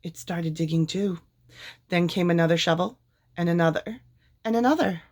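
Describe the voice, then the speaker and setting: Lower-pitched woman reading a storybook. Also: normal speed, a little mellow. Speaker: storyteller reading a children's book aloud. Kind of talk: expressive picture-book read-aloud.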